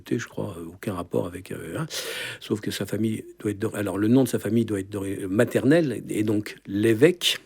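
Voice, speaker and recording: A man with a low, husky, mellow voice chats on a radio show. He wanders into side stories and searches aloud for his words, speaking from a studio. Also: a little fast. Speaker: radio co-presenter. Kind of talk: casual radio chat.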